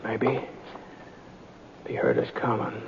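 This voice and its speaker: An actor playing a calm, laconic cowboy hero, narrating an old radio Western. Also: male voice, lowish voice. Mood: cautious. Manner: laconic, unhurried storytelling. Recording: noisy, dull old radio recording.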